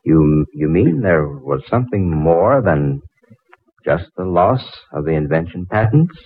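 An earnest, absorbed inventor from a 1940s drama. His low voice swings expressively as he thinks aloud, heard on a narrow, muffled old recording. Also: very animated, unhurried, breathy edge, full character voice. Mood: earnest, hesitant. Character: earnest, absorbed, slightly awkward male inventor. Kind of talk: 1940s radio drama acting.

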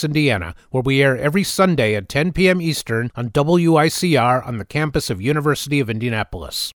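A man's voice: on the high side, dry recording, clean studio sound. A man hosting a folk music radio program, introducing tracks and giving station details. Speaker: radio host. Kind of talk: radio show links.

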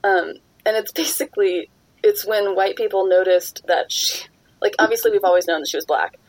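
A young woman hosting a podcast, chatty and quick to laugh as she steers the talk back on topic. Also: medium pitch, no room sound. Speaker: podcast host. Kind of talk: casual chat.

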